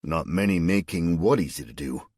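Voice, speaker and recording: Male reader doing a dry-witted everyman, low, husky, close and dry. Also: normal speed, darkish tone, dry recording. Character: wry, wary everyday man.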